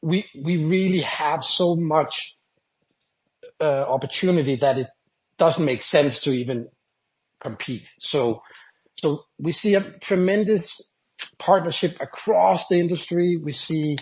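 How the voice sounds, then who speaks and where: Male panelist, upbeat and keen, higher pitch, occasional word repeats. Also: a little slow, rough recording. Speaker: panel guest, company founder. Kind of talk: online audio panel discussion.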